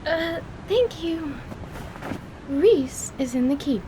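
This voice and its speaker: A woman playing an awkward, easily embarrassed young female mage in an audio drama, with halting, formal, precise wording. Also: sits high, expressive, background noise. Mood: flustered, awkward.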